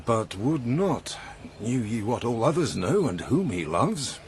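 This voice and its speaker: An actor playing a gallant, mocking, carefree knight in a verse drama, flamboyant and declamatory. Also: male voice, mid-range voice, big pitch swings, measured pace, a little hiss or hum. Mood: wry, courtly.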